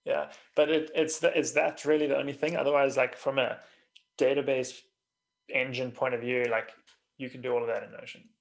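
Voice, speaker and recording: A man hosting a conversational podcast interview, halting as he pieces his questions together. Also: mid-range voice, level tone, creaky. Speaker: podcast host interviewing a guest. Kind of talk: casual interview chat.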